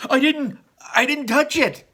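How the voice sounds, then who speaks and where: A man voicing an anxious, excitable boy on a quest in a storybook, with breathless, emphatic delivery. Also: extremely high pitch, a little slow, voice pushed up, heavily acted. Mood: panicked, defensive.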